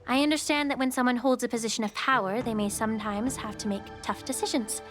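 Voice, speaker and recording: A woman voicing a composed, wry healer official in a video game, speaking in a measured, polite and philosophical way. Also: a little high, steady tone, natural voice. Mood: pointed, wry. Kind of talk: English video game voice acting. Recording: loud music under the voice.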